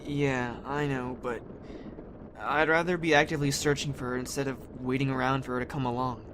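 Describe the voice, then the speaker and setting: A man acting a blunt but earnest, headstrong teenage boy in a naturalistic audio drama, speaking informally and a little haltingly. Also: medium pitch, steady tone, lightly acted. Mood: worried, determined. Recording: clear vehicle-like background noise.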